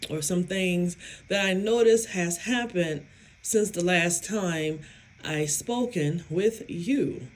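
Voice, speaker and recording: A woman vlogger and psychic medium talking casually to her viewers, with a hoarse, raspy voice and an emphatic, self-assured way of speaking. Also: fairly low pitch. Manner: slow, emphatic, self-affirming, laughs often. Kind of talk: casual talk to camera.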